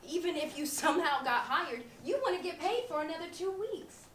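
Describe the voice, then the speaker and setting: A woman's voice: extremely high pitch, lively intonation, moderate pace, fairly bright tone. An actress playing a practical young woman roommate in a live stage comedy, often exasperated. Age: young adult. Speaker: stage actor. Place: small theatre stage. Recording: roomy stage, distant mic, background noise.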